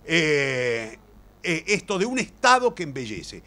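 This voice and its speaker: Older male lecturer, Argentine Rioplatense accent. Fairly high voice, very lively intonation, colloquial and emphatic. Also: slow pace. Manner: animated, colloquial storyteller with emphatic repetition. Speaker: playwright and lecturer. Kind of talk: informal conference lecture.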